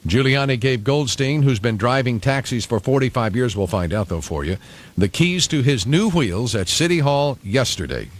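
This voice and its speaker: Male radio newsman with lively, varied pitch. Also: moderate pace. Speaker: radio news anchor. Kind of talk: scripted local news reading. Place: radio studio.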